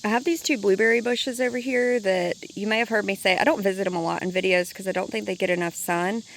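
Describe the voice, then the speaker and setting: Brief: hoarse woman, congested, middle-pitched, easygoing garden walk-and-talk outdoors, faint background hiss. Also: fast talker, rough recording. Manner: chatty, relaxed, thinking aloud. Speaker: gardening vlogger. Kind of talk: casual walk-through chat.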